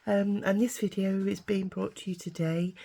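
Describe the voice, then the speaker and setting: A woman, hoarse with a cold and gruff-voiced, hosting a casual crafting video and talking hesitantly with many ums while she works. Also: mid-range voice, fairly even intonation, normal speed, mellow. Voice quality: gruff, gravelly. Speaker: craft channel host.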